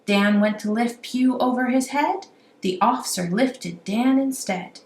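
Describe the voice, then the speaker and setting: A woman reading a rhyming children's story aloud in an animated, amateur storytelling style. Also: a little high, a little slow. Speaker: amateur children's book reader. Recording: roomy echo, faint background noise.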